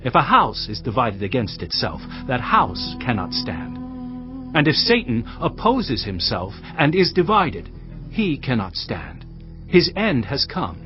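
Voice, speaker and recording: An authoritative, earnest teacher from a scripture audio drama. His delivery is emphatic and very varied in pitch, with a mid-range voice. The sound is slightly dull, with music behind him. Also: huge pitch swings, unhurried. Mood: stern teaching.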